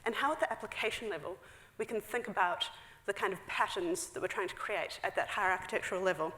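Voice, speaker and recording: A woman's voice: medium pitch, sharp tone. A woman in her thirties giving a casual, filler-heavy technical talk on a theater stage. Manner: chatty, frequent fillers, quick asides with laughs. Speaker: conference speaker. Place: large dark theater stage. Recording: echoey hall.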